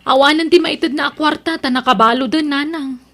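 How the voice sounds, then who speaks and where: A woman's voice: sits high, brisk, a bit bright. A woman playing a struggling single mother who sells vegetables on the street, plaintive, in an Ilocano-accented audio drama. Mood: tearful, pleading.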